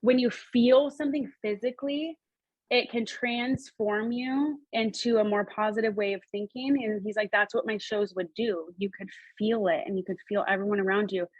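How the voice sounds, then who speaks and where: A woman working as a psychic medium, relaying messages in a halting, repetitive, animated way. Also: mid-range voice, no room sound, lo-fi sound. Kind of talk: spoken reading, relaying messages in quotes.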